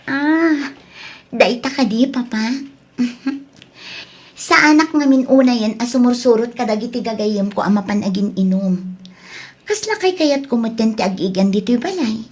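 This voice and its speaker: An actress playing a young student daughter in a radio drama, earnest and emotive, with a slightly husky voice and a Filipino accent. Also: female voice, fairly high pitch, normal speed, natural voice. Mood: earnest, apologetic. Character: young student daughter, remorseful, firm about breaking up. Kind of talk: Ilocano radio drama acting.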